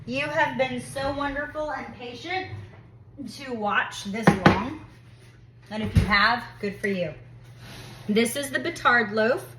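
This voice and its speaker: A woman's voice: high-pitched, heavy background noise. A young woman talking through her bread-baking in her home kitchen, chatty and reassuring, with a congested, sniffly voice. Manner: chatty, self-deprecating, reassuring. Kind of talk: casual baking tutorial talk.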